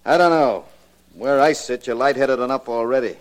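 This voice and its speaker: An actor playing a gruff, insulting gangster sugar daddy, curt and wisecracking, in 1950s radio drama style. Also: male voice, medium pitch, normal speed, close to an everyday voice. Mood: grudging.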